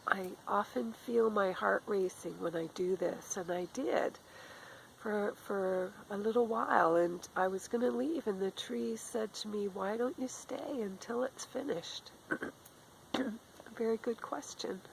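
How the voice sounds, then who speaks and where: A middle-aged woman with a cold talks softly outdoors in the woods, her voice raspy and gravelly and often dropping to a whisper. She speaks very slowly and gently, in a calm spiritual tone. Faint outdoor sounds and a crow can be heard behind her. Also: medium pitch, slight background noise, low-quality recording. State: has a cold. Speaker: spiritual channeler. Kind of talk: slow, gentle spiritual talk to camera. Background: faint outdoor ambience with a crow.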